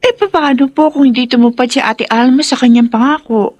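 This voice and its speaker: A concerned young Filipino girl in a radio drama. She is earnest and questioning, with a fairly high voice. The sound is dry. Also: brisk, darkish tone, no echo. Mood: pleading, worried. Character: inquisitive, concerned young girl, polite to elders.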